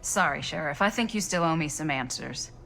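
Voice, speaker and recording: A level-headed woman in a horror game. Her voice is slightly low and naturalistic, with light music underneath. She is sceptical and direct, pressing for answers. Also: lively intonation, brisk, gravelly, fairly bright tone, exaggerated voice. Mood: sceptical, confronting. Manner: direct, questioning. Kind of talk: naturalistic video game cutscene acting.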